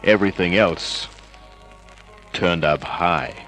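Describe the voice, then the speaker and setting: An actor playing a wry, flirtatious American private detective with a world-weary manner, in the style of 1950s radio noir. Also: male voice, low-pitched, takes it slowly, fairly bright tone. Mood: wry narration. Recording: old radio drama recording with music.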